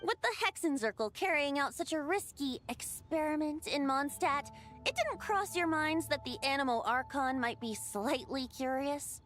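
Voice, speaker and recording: A woman's voice: sits high, lively intonation, normal speed, a bit bright, faint music behind. A woman voicing an impish, breezy boyish bard in a video game, in a light, playful, teasing manner. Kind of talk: video game character acting.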